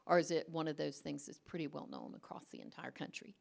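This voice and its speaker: Low, gravelly woman asking a question. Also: wildly swooping pitch, quick pace. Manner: searching, rephrasing questions. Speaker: audience member asking a question. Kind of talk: conference Q&A question.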